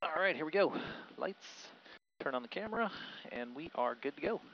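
A man's voice: on the high side, lively intonation, moderate pace. Male pilot, middle-aged, matter-of-fact and clipped, dull headset sound with faint noise. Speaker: pilot and vlogger. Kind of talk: cockpit narration and radio readbacks. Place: small airplane cockpit. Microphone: aviation headset boom mic. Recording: muffled headset audio with engine noise.

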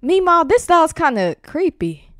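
Girl acting as a dramatic reluctant granddaughter, slangy, super high bouncy voice, game roleplay. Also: very animated, a little slow, fairly bright tone, dry recording, clean studio sound, exaggerated voice. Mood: uneasy, flustered. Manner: slangy, dramatic, stammering repetition. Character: reluctant, flustered young granddaughter dreading grandma's visit.

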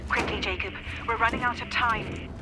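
A man's voice: extremely high pitch, fairly even intonation, normal speed, heavy background noise. A man voicing a remorseful scientist who urgently guides the hero by radio in a video game. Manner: urgent, pleading. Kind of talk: video game cutscene acting. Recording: game audio over loud music.

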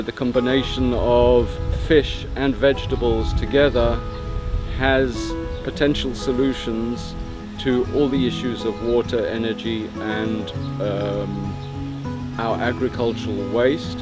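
An older man, an aquaculture technician, speaking in a documentary interview, measured and reflective with pauses. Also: mid-range voice, fairly even intonation. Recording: loud music bed behind.

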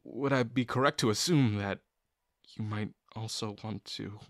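A man's voice: lowish voice, fairly bright tone, dry recording, studio quality. A man playing a shy, sincere young guy in love with his best friend, speaking hesitantly and intimately to the listener in an audio roleplay. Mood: awkward, flustered. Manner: hesitant, halting, full of pauses and sighs. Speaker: voice actor.

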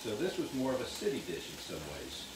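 A man's voice: mid-range voice, huge pitch swings, brisk. A man hosting a cooking show, chatting and explaining the dish as he cooks in a kitchen. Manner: chatty, explains while he works. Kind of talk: casual cooking demonstration. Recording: roomy kitchen sound, mic at a distance, clear background noise. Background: frying and sizzling.

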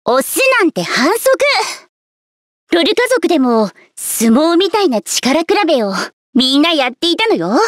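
A woman's voice: high voice, very animated, brisk, no echo, clean studio sound. A woman playing a spirited, competitive young female warrior in a Japanese game, with a plucky delivery. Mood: cheerful. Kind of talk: Japanese game character voice lines.

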